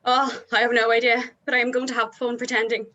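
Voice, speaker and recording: A woman in an informal table read playing an excitable, gushing, flirtatious female band member. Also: fairly high pitch, quick pace, highs cut off, slight background noise. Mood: gleeful.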